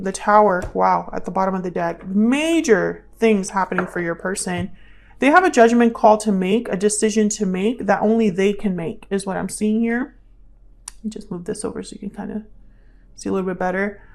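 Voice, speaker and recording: A woman reads tarot as a channel host, speaking at a medium pitch. She talks conversationally, thinking aloud as she interprets, and circles back to repeat questions and phrases. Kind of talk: intuitive tarot reading, casual spoken commentary.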